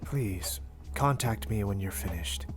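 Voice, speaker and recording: A man playing a composed, formal ghoul doctor in an anime audio roleplay, speaking in a measured, dry way. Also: on the low side, natural voice. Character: composed, formal male ghoul doctor, curt but loyal. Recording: prominent music bed under voice. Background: music.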